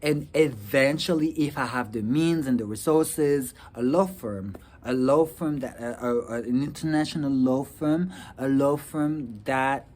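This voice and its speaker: A young man from Rwanda talking earnestly about his future plans in a personal vlog, with halting restarts and many fillers. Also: mid-range voice, a little mellow. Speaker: vlogger. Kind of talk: personal reflective talk to camera.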